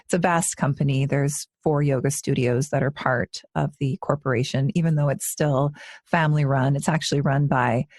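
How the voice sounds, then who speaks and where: A woman's voice: very deep voice, steady tone, normal speed, a little mellow, no echo, clean studio sound. A woman in her forties, a yoga teacher co-hosting a podcast, talking in an earnest, reflective way with frequent restarts. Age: 40s. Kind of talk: casual podcast conversation.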